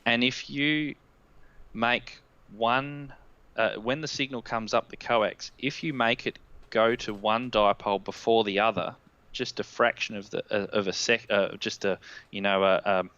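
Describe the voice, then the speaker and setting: A man's voice: fairly low pitch, steady tone, measured pace, sharp tone, clean tone, lo-fi sound. An Australian-accented man in his thirties, a guest expert giving a technical explanation on a live stream. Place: indoor room at home, on a video call. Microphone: headset.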